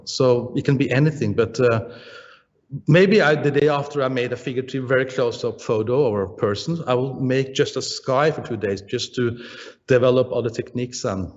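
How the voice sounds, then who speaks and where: Danish-accented man in a reverberant room. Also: mid-range voice. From Denmark. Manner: halting, searching for words, frequent "uh" and restarts. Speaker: painter being interviewed. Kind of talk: relaxed interview answers. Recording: dull, roomy sound with long reverb.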